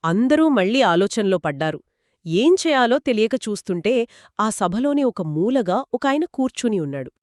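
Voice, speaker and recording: A woman co-narrating a children's story in a conversational, expressive and engaging style. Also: mid-range voice, normal speed, no room sound, studio quality. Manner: expressive, engaging, acts out characters' quoted lines.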